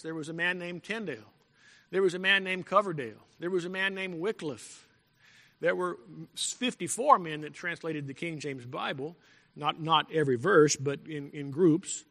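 A male pastor preaching a sermon in a deliberate, emphatic way, repeating key phrases and clearing his throat now and then. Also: fairly high pitch, bright, forceful tone. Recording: long echo from a large hall.

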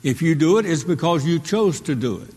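Husky preacher in a reverberant sanctuary. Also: male voice, fairly high pitch, lively intonation, fairly quick, a little mellow. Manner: call-and-response preaching, rhetorical questions. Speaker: pastor. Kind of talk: sermon. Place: church sanctuary. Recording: long hall reverb.

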